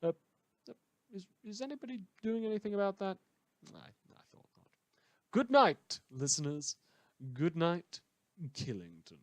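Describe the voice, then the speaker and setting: A man's voice: sits high, big pitch swings, measured pace, pauses often, no echo, voice pushed up. A man performs a wry late-night radio host from an audio drama, broadcasting from the countryside. He rambles through digressions with theatrical sarcasm. The sound is dry, with little room around the voice. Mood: weary, wry.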